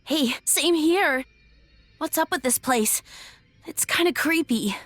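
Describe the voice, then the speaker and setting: A spirited young heroine, very high and bright. She is playful, stubborn and devoted, drawing her words out in a fantasy game. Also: lively intonation, slow, dry recording, heavily acted.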